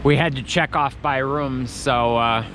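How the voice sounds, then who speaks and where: A man's voice: mid-range voice, steady tone, measured pace, noisy background. A man vlogging as he walks through an outdoor Christmas market, chatting in a rambling way with many restarts. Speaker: travel vlogger. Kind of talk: casual walking commentary.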